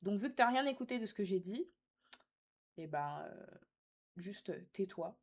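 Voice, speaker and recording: A woman's voice: mid-range voice, expressive, measured pace. Young woman, sassy live streamer. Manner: teasing, sassy, slangy. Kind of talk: casual live chat, reading and answering viewer comments.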